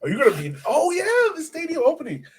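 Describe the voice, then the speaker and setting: A man's voice: very high voice, wildly swooping pitch, quick pace, a little mellow, slight background noise. A man co-hosting a soccer podcast in casual, slangy, conversational sports chat.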